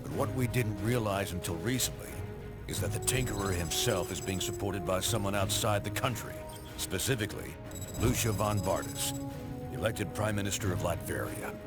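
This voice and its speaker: An actor playing a gruff, hard-bitten spy commander in a video game cutscene, brusque and mocking. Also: male voice, fairly low pitch, normal speed, close to an everyday voice. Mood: businesslike. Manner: barking orders, brusque and mocking. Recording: loud music under the dialogue.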